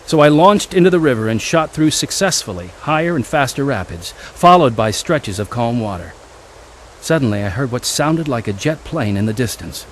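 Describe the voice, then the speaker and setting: A man's voice: mid-range voice, slight background noise, natural voice. An actor narrating and playing a young man looking back on his wild youth in a radio drama.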